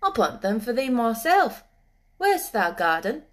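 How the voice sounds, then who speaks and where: A woman's voice: on the high side, big pitch swings, measured pace, a bit bright. A woman voicing a cheerful, friendly moorland boy in a storybook, with a Yorkshire accent and easy, earthy dialect speech. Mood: warm, easy.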